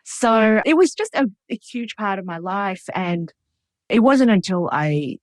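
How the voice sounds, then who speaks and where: A woman's voice: medium pitch, lively intonation, a little slow, darkish tone, no room sound, clean studio sound. A woman with an Australian accent, a guest cook and writer, talking reflectively with lots of "like" and "you know". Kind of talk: conversational interview.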